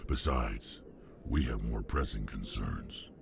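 A man voicing a huge, ruthless alien mercenary in a video game, deep and gravelly, blunt and menacing. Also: expressive, takes it slowly, background noise, full character voice. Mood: grim, menacing.

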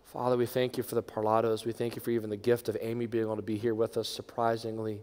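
A middle-aged man, a pastor leading a congregation in prayer from a lectern, moving between hushed, near-whispered phrases and strong, raised proclamation. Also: lowish voice, completely flat delivery, quick pace. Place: large church sanctuary. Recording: long church reverb.

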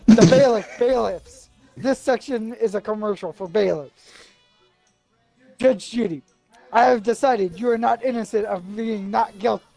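Male script reader, slow and creaky, stumbling through comedy lines, faint music behind. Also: high voice, warm tone, no echo, rough recording. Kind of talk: reading an absurd courtroom comedy script.

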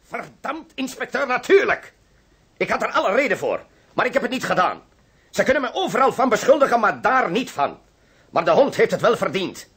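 A raspy, indignant suspect. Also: male voice, sits high, sing-song, a little fast, a bit bright, exaggerated voice. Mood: indignant, defiant. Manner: emphatic, protesting. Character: indignant, defiant male murder suspect. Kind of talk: radio play acting.

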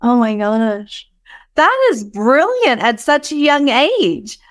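A woman's voice: on the high side, sing-song, slow pace. A woman podcast host chatting in a friendly, effusive and enthusiastic way with a guest over a video call. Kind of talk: friendly interview chat. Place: small home office, indoors.

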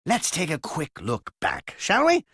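Exasperated animal sidekick narrating an animated game; husky, bright, theatrical. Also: male voice, a little high, unhurried, no echo, full character voice. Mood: storytelling. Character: prim, long-suffering male aye-aye royal adviser narrating.

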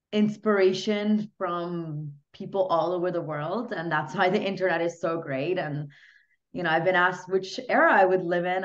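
Young woman, from Hungary, lowish voice, casual chat, filler words, dull laptop mic, video call. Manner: chatty, many fillers like "you know" and "like". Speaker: podcast guest. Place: small home room. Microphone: laptop webcam mic on a video call.

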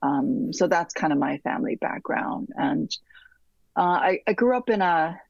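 Thoughtful woman with a gravelly, husky voice. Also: on the low side, expressive, a little slow, no room sound, dull audio. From Taiwan. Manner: thoughtful, many restarts and soft trailing fillers. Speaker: architect guest. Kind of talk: reflective interview answers. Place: home room with bookshelves, remote call.

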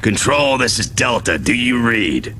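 A man playing a gruff soldier squad leader in a military shooter game, terse and authoritative. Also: mid-range voice, very animated, a little slow, heavy background noise. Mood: firm. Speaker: voice actor in a video game. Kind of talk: military shooter cutscene acting.

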